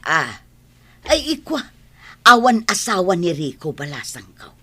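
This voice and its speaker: A woman playing a fretful, affectionate older mother in a radio drama, husky and exclamatory, with sighs. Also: on the low side, big pitch swings, measured pace, sharp tone, full character voice. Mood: flustered.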